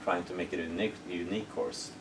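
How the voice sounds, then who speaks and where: A man hosting a relaxed outdoor interview at a disc golf course, hesitating often with ums and uhs. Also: low-pitched, fast. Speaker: interviewer and host. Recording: distant outdoor mic with background noise.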